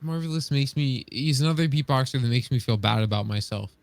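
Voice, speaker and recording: Late-teen male co-host, average pitch, loose slangy chat. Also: fast, vocal fry, no room sound. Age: teens, about 17. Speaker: podcast co-host. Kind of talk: casual slangy banter.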